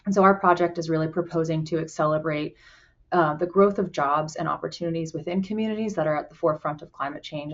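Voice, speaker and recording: A woman from Colorado, a program director, giving an earnest conference presentation with frequent "um"s over a video call. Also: low-pitched. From Colorado, USA. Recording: muffled video call audio.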